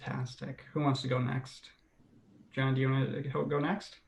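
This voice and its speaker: A man's voice: medium pitch, flat delivery, normal speed, creaky, roomy sound. A man moderating an online panel on a video call, talking hesitantly with many fillers.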